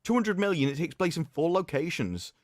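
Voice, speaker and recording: A man hosting a livestream, reading aloud in a mocking, theatrical way with sarcastic asides and drawn-out emphasis. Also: on the high side, big pitch swings, no echo, studio quality. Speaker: livestream host. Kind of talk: mocking dramatic reading of an article with commentary.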